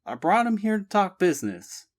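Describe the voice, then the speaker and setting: Brief: spirited young woman, high and emphatic, narrator doing her voice. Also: big pitch swings, moderate pace, darkish tone, close to an everyday voice. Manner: emphatic, exclaiming. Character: spirited young Southern woman, outspoken and teasing.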